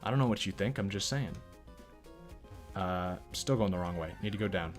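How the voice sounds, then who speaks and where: A man's voice: low voice, moderate pace, soft background music. A man giving casual, profane, rambling commentary while playing a video game and reacting to chat. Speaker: let's play streamer.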